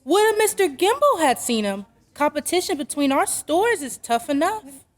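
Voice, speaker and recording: A woman playing a brisk, practical, skeptical working mother in an old-time radio drama, dry and matter-of-fact. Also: sits high, sing-song, heavy background noise. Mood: brisk, wry.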